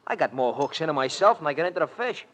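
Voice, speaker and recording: An actor playing a wisecracking, slangy New sidekick in a 1940s radio detective drama. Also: male voice, on the high side, big pitch swings, fast talker, a bit bright, a little hiss or hum. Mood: grumbling, wisecracking. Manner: slangy, mock-formal wisecracks. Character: wisecracking, streetwise American sidekick in a detective serial. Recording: old radio recording.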